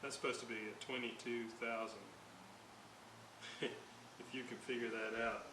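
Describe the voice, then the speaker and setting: A man giving casual hands-on commentary as he repairs equipment, halting and muttering while he works. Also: medium pitch, fairly even intonation. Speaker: hobbyist repairer narrating a restoration. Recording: hissy, roomy, distant microphone.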